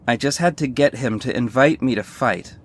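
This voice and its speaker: A man's voice: mid-range voice, normal speed, lightly acted. An actor playing a sardonic male superhero who narrates his own story in a scripted audio drama, wry and earnest. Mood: tense recounting.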